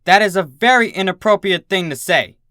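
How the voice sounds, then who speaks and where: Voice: medium pitch, fairly quick, fairly bright tone, no room sound, studio quality, pitched above the performer's own voice, natural voice. A storybook reader voicing a stern adult female pony schoolteacher who barks and hisses reprimands. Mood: outraged. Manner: barking, hissing reprimands. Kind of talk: storybook character voice in fan fiction reading.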